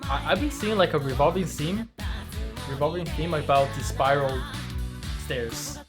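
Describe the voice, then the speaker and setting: A man making an anime reaction video, excitable and talking to his viewers, hoarse from screaming. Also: fairly high pitch, measured pace, music in the background.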